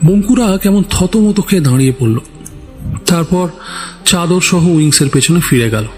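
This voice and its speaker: A male storyteller with a slightly high voice delivers dramatic scripted narration. His delivery is theatrical and full of suspense, with music playing underneath. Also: expressive, moderate pace, darkish tone. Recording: background music.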